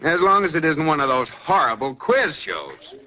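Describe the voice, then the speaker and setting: A wary exasperated husband. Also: male voice, on the high side, big pitch swings, moderate pace. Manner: dry, suspicious, easily exasperated. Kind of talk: 1940s radio comedy acting. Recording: dull old radio broadcast.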